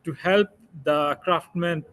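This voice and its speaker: Brief: Indian man, 30s, club president, higher-pitched, halting delivery full of fillers. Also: fairly bright tone. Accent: Indian, with some French influence. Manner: hesitant, many fillers and restarts. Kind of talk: webinar presentation.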